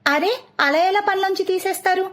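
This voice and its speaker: A woman's voice: very high-pitched, a little fast, a bit bright, voice pushed up. A woman voicing a caring, eager young wife and daughter-in-law in a cartoon story. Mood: worried.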